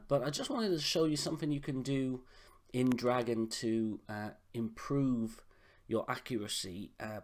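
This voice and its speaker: A man with a slightly hoarse voice from a sore throat, giving a relaxed, conversational software tutorial as a voice-over on a screen recording, with frequent "uh" fillers. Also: mid-range voice.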